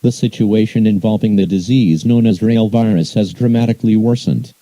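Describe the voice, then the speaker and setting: A man reading a formal scripted emergency bulletin as an alert announcer, in an impersonal, measured way. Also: on the low side, dark tone, dry recording, low-quality recording.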